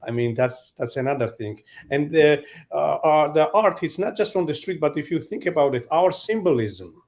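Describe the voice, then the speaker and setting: A man from Georgia with a Georgian accent speaking as a panelist, halting but emphatic, often repeating words as he goes. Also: fairly high pitch, animated, a little mellow. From Georgia (country). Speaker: panelist, artist. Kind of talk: panel discussion, spontaneous talk.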